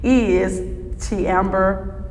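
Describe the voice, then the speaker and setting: Female talk show host, unhurried, distant and reverberant. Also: medium pitch, fairly even intonation, faint music behind. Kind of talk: casual interview. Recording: reverberant hall, distant, faint noise.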